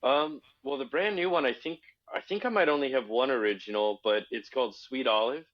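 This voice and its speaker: A man with a low voice, a musician guest, answering in a relaxed, thoughtful, halting way. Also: dull audio. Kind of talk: casual interview chat.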